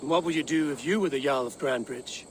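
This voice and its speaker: An actress playing a steady, direct young Norse warrior woman in a video game cutscene. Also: a bass voice, quick pace, background noise. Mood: steady, probing.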